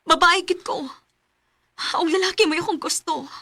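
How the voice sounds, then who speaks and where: A woman's voice: extremely high pitch, fairly even intonation, moderate pace, heavy background noise. A woman acting a blunt, headstrong young woman in a radio drama. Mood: firm, defiant.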